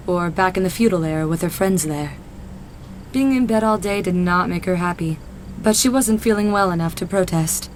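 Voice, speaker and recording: A woman's voice: medium pitch, a little hiss or hum, low-quality recording. A woman narrator reading a scripted story as part of an audio drama.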